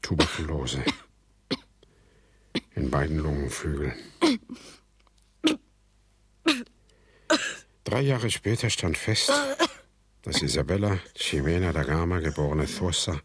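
An actor playing a grave son who narrates his family's history in a radio drama, sombre and deliberate. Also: very deep voice, animated, slightly rough, dry recording, heavily acted.

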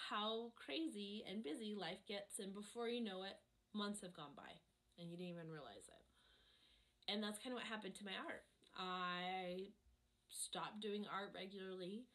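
A woman in her thirties hosting an art channel, chatting reflectively in a voice-over, her voice hoarse from a cold. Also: mid-range voice, a bit bright, poor audio. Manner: reflective, chatty, many ums. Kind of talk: casual voice-over chat over a painting.

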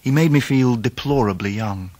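An actor playing a grown English gentleman who narrates his own youth in a radio drama. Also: medium pitch, moderate pace, a little hiss or hum, close to an everyday voice. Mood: reflective.

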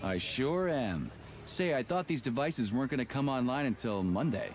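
A man playing a gruff, wisecracking army major in a video game, with a laconic, casual manner. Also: mid-range voice, expressive, moderate pace, close to an everyday voice. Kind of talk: scripted video game acting.

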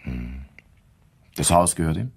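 An actor playing a calm, probing police inspector in a German crime radio play, measured and matter-of-fact. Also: male voice, extremely low pitch, creaky, full character voice. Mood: businesslike, probing. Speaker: actor in an audio drama.

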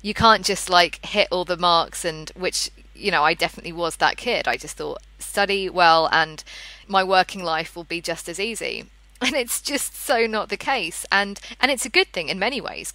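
A woman's voice: on the low side, pushed and bright, no echo, rough recording. A young British woman chatting casually as a podcast host. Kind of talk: casual interview chat.

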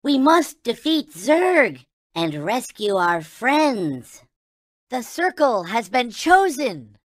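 A man's voice: extremely high pitch, big pitch swings, slow pace, a bit bright, no room sound, clean studio sound, exaggerated voice. A man voicing a tiny, childlike alien toy in a video game, speaking with reverent wonder in formal, chant-like phrases. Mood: earnest alarm. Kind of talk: video game character voice clips.

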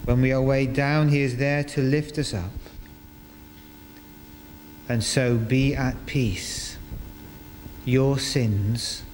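An older man leading a church service, reading liturgy and prayers to a congregation in a measured, formal way, phrase by phrase. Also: medium pitch, fairly even intonation, a little mellow. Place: inside a parish church, congregation present. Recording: echoey church hall with noisy ambience.

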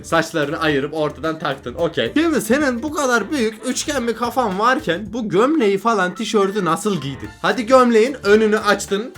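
A man's voice: high voice, a bit bright. A man giving casual comedic reaction commentary as a video host, asking exclamatory, mock-baffled questions. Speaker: YouTube host reacting to cartoon images.

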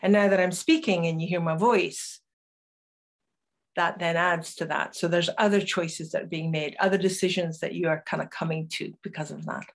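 An older woman with a Northeast Scottish accent, giving a reflective conference talk to a webcam at home and telling stories with pauses. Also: on the low side, steady tone. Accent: Northeast Scottish, Doric. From northeast Scotland. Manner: storytelling, reflective, unhurried with pauses. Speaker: conference speaker, storyteller. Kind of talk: personal talk to camera. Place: home room with curtains, indoors.

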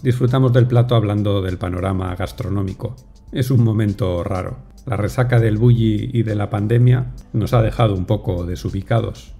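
Mellow-voiced male voice-over narrator. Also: fairly low pitch. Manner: measured, unhurried, descriptive. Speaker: food vlogger narrator. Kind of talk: calm scripted voice-over narration. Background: soft music.

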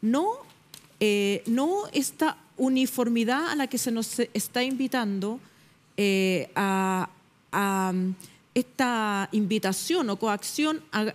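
A middle-aged woman journalist arguing her point on a television panel debate, emphatic and pausing often with fillers, recorded on a lapel mic in a studio. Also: a little high. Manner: emphatic, searching for words with many fillers.

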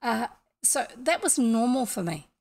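Older NZ-accented woman streamer, husky voice, rambling explainer, close mic at home. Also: on the high side, sing-song, measured pace, a little mellow. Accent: New Zealand. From New Zealand. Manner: rambling, explanatory, with frequent asides and restarts. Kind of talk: casual storytelling chat. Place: small home room, indoors.

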